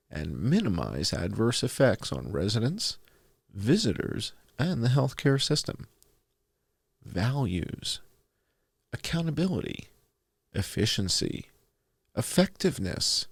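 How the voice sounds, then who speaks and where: A man hosting a commentary, reading documents aloud in a deliberate, announcer-like way with dry, sardonic asides. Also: fairly low pitch, creaky, no echo. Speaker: host presenting and reading documents.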